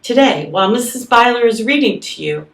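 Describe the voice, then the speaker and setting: A woman's voice: a little high, lively intonation, fairly quick. A woman music teacher presenting an instructional demonstration to children, explaining step by step. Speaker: elementary school music teacher. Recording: roomy, distant microphone.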